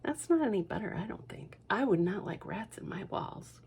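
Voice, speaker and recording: A woman librarian reading a picture book aloud to children in a gentle, hushed manner, with whispered asides. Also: mid-range voice, animated, normal speed, husky. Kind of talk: storytime read-aloud for children.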